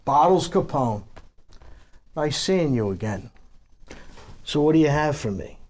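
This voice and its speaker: A man's voice: a little high, animated, unhurried, darkish tone. A man playing a blunt, sardonic gangster in a table reading of a crime drama, wry and conversational. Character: Lucky, blunt, sardonic male visiting gangster.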